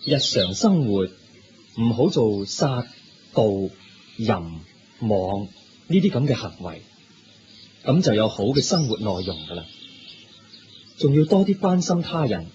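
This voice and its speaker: An actor with a Hong Kong Cantonese accent playing the Buddha as a serene, kindly teacher in a radio drama, speaking in a measured, reassuring way. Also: male voice, fairly low pitch, sing-song, slight background noise. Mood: gentle instruction. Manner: measured, instructive, gently reassuring. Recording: muffled lo-fi radio recording, faint music.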